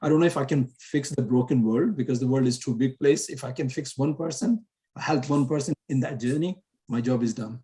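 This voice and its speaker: A man from Pakistan speaking as a guest on a video call panel, earnest, steady and deliberate. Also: mid-range voice.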